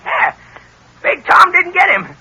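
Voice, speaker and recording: A man's voice: very high-pitched, sing-song, a little slow, pushed and bright, full character voice, rough recording. A man playing a dim-witted, childishly gleeful hunchback dog keeper in an old-time radio drama, repeating himself in a cackling voice. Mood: slow, brooding. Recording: vintage radio drama recording.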